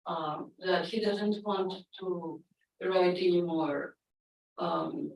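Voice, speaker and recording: Woman, native Russian speaker, audience comment, hesitant, lower voice, roomy hall from a distance. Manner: slow and hesitant, many fillers. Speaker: audience member, poet and psychoanalyst. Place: lecture room.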